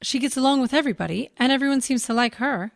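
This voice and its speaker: A woman's voice: high-pitched, quick pace, creaky, dry recording, studio quality. A woman playing an earnest, concerned actress in a comedy audio drama.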